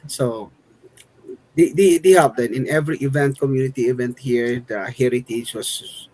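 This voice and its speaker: Male radio co-host, medium pitch; jovial and rambling, quick to joke. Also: a little mellow, poor audio. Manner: jovial, chatty, laughing, rambling. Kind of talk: radio interview conversation.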